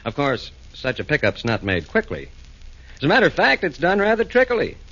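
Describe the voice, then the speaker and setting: A man's voice: fairly high pitch, wildly swooping pitch, fairly quick, a bit bright. A man narrating a rhyming comic radio drama in a theatrical, sing-song manner. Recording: dull old radio broadcast.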